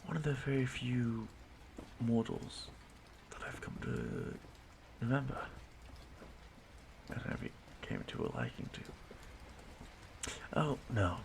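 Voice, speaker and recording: A man playing an ancient immortal stalker in an audio roleplay, softly menacing yet courteous and wistful, musing haltingly in a gravelly murmur that often drops to a whisper. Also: medium pitch, wildly swooping pitch, noisy background, exaggerated voice. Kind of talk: slow intimate audio roleplay monologue.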